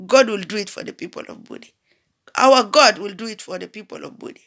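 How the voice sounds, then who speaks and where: A woman's voice: mid-range voice, brisk, fairly bright tone. A woman from Sudan, delivering an impassioned public appeal in a preaching manner. She repeats phrases and rises to emphatic cries. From South Sudan.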